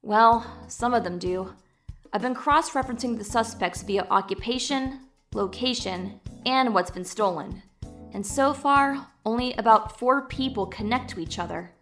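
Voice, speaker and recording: Woman acting as young analytical sidekick, fairly high pitch, matter-of-fact, caring, audio drama, background music. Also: normal speed, fairly bright tone. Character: young female tech-savvy sidekick, brisk, analytical, caring.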